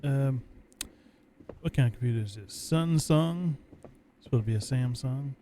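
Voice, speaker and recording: A man's voice: medium pitch, moderate pace, dark tone, a little hiss or hum. A man giving casual, laid-back gameplay commentary, musing to himself as he plays. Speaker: let's play gamer commentating.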